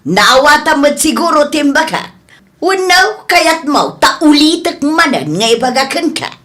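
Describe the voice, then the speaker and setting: Radio drama: woman plays tight-fisted female employer, high voice, bossy, dismissive delivery. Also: big pitch swings, unhurried, bright, forceful tone, heavily acted. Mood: stern. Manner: curt, bossy. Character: stingy, scolding female shop boss who lies. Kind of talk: Ilocano radio comedy drama acting.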